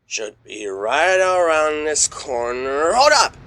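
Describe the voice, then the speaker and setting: A man voicing an earnest, excitable, by-the-book alligator secret agent who gives dramatic warnings. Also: fairly high pitch, takes it slowly, heavily acted. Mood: urgent. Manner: dramatic, urgent warnings that swing into grave explanation. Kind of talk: animated comedy fandub acting.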